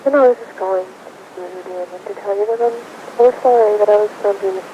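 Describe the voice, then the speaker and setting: A woman's voice: a little fast, mellow. A woman leaving a casual personal phone message, recorded on an old muffled answering machine tape. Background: tape hiss and rumble.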